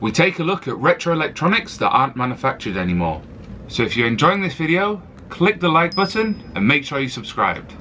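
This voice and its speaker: A man's voice: a little high, sing-song, fairly quick, sharp tone, slight background noise. A man hosting a channel, speaking straight to viewers in a short announcement style. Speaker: channel host giving a subscribe reminder.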